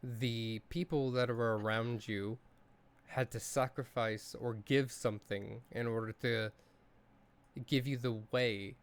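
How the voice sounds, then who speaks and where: A man narrating a tabletop role-playing game as game master, with measured, deliberate phrasing. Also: mid-range voice.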